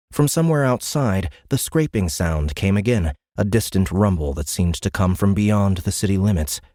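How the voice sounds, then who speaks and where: A man's voice: on the low side, mellow, no room sound, studio quality. A man reading scripted science fiction narration for an audiobook in a dramatic storytelling style.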